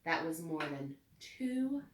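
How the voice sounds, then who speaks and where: A woman reading a storybook aloud with expressive, deliberate phrasing meant for children. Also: deep voice, noisy background. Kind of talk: read-aloud storybook narration. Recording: echoey room, distant mic.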